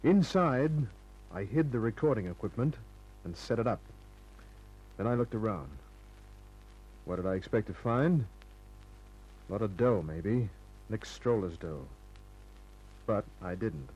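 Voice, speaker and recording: An actor playing a hard-boiled, cynical private detective in a 1950s radio crime drama, tough and clipped in delivery. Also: male voice, on the low side, animated, unhurried, pauses often, darkish tone, breathy edge, dull audio. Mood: brisk narration.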